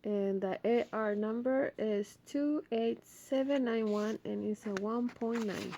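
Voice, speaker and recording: A woman's voice: a little high, slow pace, dark tone, slight background noise. A woman hosting a children's read-aloud, narrating expressively and speaking to young listeners. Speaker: storybook reader, video host.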